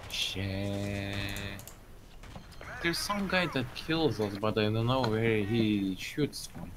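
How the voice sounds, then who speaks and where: A man with a Russian accent talks over voice chat as a teammate in a shooter, a native Russian speaker working in English. His voice is somewhat low, his speech halting, with swearing under pressure. Steady noise is clearly heard behind him. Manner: hesitant, swears under stress. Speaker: squad teammate. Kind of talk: casual gameplay chat.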